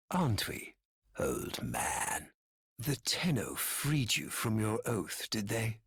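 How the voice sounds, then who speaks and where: An actor playing a bitter, scheming aristocratic villain in a video game, speaking in poetic, grandiose phrases with dramatic pauses and a breathy tone that often drops toward a whisper. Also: male voice, fairly low pitch, fairly bright tone, exaggerated voice. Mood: contemptuous. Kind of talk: theatrical scripted video game dialogue. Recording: echoey, long hall-like reverb.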